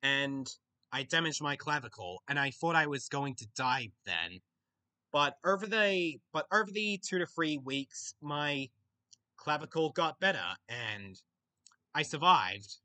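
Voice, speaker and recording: A young man with an Australian accent, chatting casually on a webcam in his bedroom as he answers viewer questions, often pausing and restarting to think. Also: fairly high pitch, lively intonation, bright, forceful tone. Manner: halting, with frequent restarts and pauses to think. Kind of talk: casual Q&A chat. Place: small bedroom, indoors.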